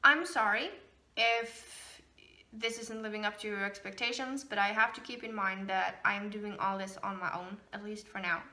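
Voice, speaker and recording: A woman's voice: medium pitch, steady tone, moderate pace, bright, forceful tone. A woman whose native language is Norwegian, hosting a casual knitting podcast and chatting freely with frequent laughs and fillers. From Norway. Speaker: podcast host, knitting designer. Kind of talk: casual chat.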